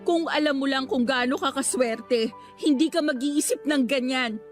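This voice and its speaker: A very high, youthful schoolgirl voice from an actress in a radio drama. She is earnest and wounded, defending herself with emotional, pleading reproach. Music runs softly behind her. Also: normal speed, close to an everyday voice. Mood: hurt. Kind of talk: Tagalog radio drama acting.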